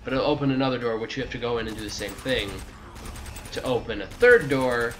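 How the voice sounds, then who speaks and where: A man's voice: medium pitch, fairly quick, a little hiss or hum. A man giving a casual video game walkthrough, rambling and correcting himself, with jokey asides to the game. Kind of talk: casual gameplay walkthrough commentary.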